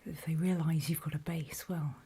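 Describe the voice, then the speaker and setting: Breathy, whispering woman as a wary apocalypse survivor. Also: low-pitched, fairly even intonation, full character voice. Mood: wary, instructive. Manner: hushed, deliberate, halting storytelling with pauses. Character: wary, battle-worn female survivor of a zombie apocalypse. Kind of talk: whispered ASMR horror roleplay monologue.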